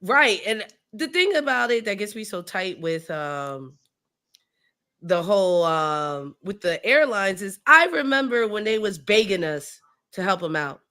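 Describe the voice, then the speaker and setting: Female streamer, medium pitch, informal and slangy, relaxed conversational delivery for hobby-build chat. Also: a little slow. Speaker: streamer co-hosting a Lego build. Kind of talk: casual chat while building.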